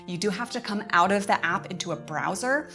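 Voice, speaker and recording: A woman's voice: medium pitch, lively intonation, fairly quick, pushed and bright, soft background music. A woman hosting a casual live-stream update for members, talking in a chatty, informal way. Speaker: host, homeschool curriculum creator.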